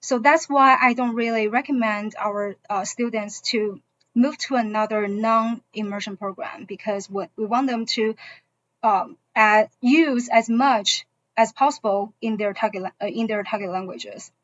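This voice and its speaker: Higher-pitched woman with a Mandarin accent. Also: fairly even intonation, measured pace, fairly bright tone, no room sound. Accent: Mandarin Chinese. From China. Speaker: language program teacher. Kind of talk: informational presentation to parents. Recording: dull, muffled online-session audio.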